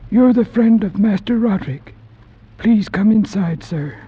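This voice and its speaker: An actor playing an eerie, formal manservant in old radio horror, deferential and measured, with a husky voice. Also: male voice, sits high, animated, a little hiss or hum. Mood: ominous, deferential. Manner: deferential, measured, ominously certain. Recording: dull vintage radio recording.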